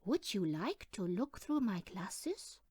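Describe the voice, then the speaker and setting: Storybook elderly neighbour lady, voiced by a female reader: kindly, inquisitive, slow and lilting. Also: mid-range voice, sing-song, a little mellow, husky, no echo, clean studio sound, full character voice. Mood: gently inquiring.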